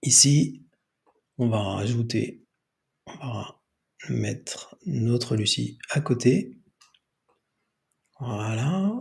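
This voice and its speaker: A man's voice: mid-range voice, lively intonation, extremely slow, gravelly, pauses often. A man hosting an improvised screen tutorial, hesitantly narrating each step aloud. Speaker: tutorial host.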